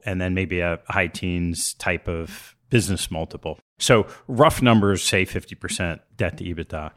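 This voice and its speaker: Male interviewer, low-pitched voice. Conversational, analytical, probing questions for a business show. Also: a bit bright. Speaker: interviewer, host.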